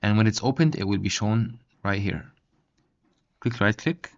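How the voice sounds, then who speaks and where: A man narrating a step-by-step software tutorial as its host, on a dull, lo-fi recording. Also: fairly low pitch, a little slow. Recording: dull, lo-fi microphone sound.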